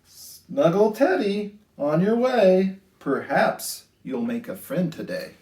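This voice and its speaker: Animated, higher-pitched man reading a children's story. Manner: animated, sing-song delivery with drawn-out phrases. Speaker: storytime host reading picture books to children. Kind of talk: read-aloud children's storytime, slow and expressive. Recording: roomy, echoey indoor sound.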